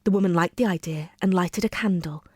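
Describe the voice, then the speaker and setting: A woman's voice: on the low side, brisk, breathy edge, no room sound. Woman reading a bedtime story, dry sound. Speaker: storyteller, reader. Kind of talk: scripted bedtime story narration.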